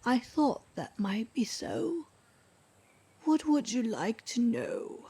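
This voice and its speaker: A woman playing a weary, embittered ex-wife living in seclusion, in a radio drama, speaking in a breathy hush with blunt resignation. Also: a little high, sing-song, slow pace, exaggerated voice. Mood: guarded, blunt. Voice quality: breathy, hushed. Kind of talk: scripted radio drama dialogue.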